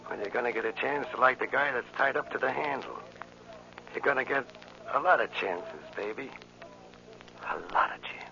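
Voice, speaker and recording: A man's voice: medium pitch, normal speed, vocal fry, pauses often, sharp tone. An actor playing a cocky, flirtatious tough guy with slangy, smug swagger in a 1940s radio drama. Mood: smug flirting. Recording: muffled, noisy old radio recording.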